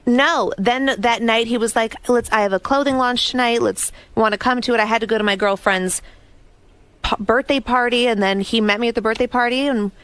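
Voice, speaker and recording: A woman's voice: fairly high pitch, fairly quick, fairly bright tone, clear, resonant tone, no room sound. A woman in her twenties, a guest on a radio talk show, chatting casually and giggling, with lots of "like" fillers. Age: 20s. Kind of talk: casual chat.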